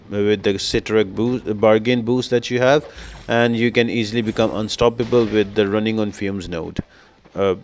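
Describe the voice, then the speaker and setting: Man explaining gameplay over background noise. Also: medium pitch. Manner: explanatory, addresses viewers as guys. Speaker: game guide host. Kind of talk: casual gameplay walkthrough commentary.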